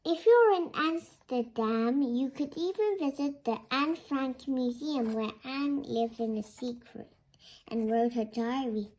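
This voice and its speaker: A woman's voice: high-pitched, slow, darkish tone, low-quality recording. A young girl reading a children's storybook aloud as narration. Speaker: reader of a children's book. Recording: clear background noise.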